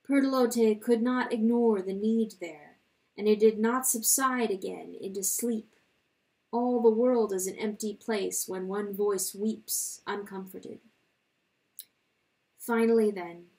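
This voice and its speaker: A woman reading a literary story dramatically, in a solemn, deliberate narrating style. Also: mid-range voice, fairly even intonation, warm tone, recorded from a distance, poor audio. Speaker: audiobook reader.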